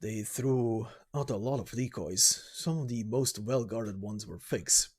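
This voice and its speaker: An amateur voice actor playing a gruff, weary mercenary commander with a grim, deliberate manner in a game story. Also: medium pitch, darkish tone, voice pushed down. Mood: grim, frustrated. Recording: music under the voice.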